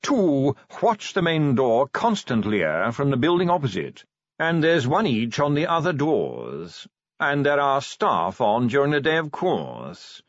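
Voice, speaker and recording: A man's voice: medium pitch, big pitch swings, unhurried, dry recording, muffled recording, pitched above the performer's own voice. A man voicing a pompous, proud upper-class museum official with a precise, condescending manner, in an audiobook story.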